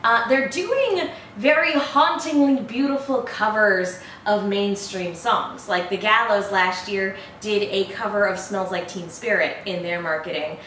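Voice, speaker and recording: A woman chats informally as the host of a reaction show, with a middling pitch. She is recorded at a distance in an echoey room, with faint noise behind her. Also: unhurried, hardly any pauses, a bit bright. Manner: informal and chatty, laughs often. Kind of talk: casual film review chat.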